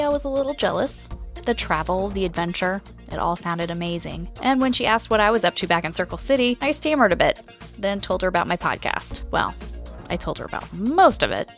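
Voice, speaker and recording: Friendly, inquisitive female podcast host, played by an actress, mid-range conversational voice, audio drama over soft music. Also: medium pitch, expressive, fairly quick, close to an everyday voice. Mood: confiding narration. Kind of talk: scripted podcast narration and audio drama dialogue.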